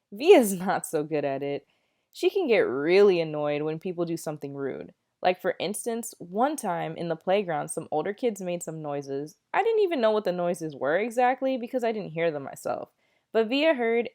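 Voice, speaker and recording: A woman's voice: lowish voice, darkish tone. A woman reading a children's novel aloud as a candid, self-aware ten-year-old boy, in a conversational and emphatic way. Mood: candid, matter-of-fact. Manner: conversational, emphatic storybook narration.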